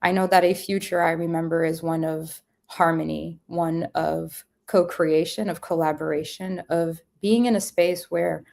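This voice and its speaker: A woman's voice: low-pitched, steady tone, measured pace, gravelly, hardly any pauses, a little mellow. A woman guest telling her personal story in an earnest, spiritual, meandering way with many fillers. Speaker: guest, event company founder. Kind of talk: reflective personal storytelling in conversation.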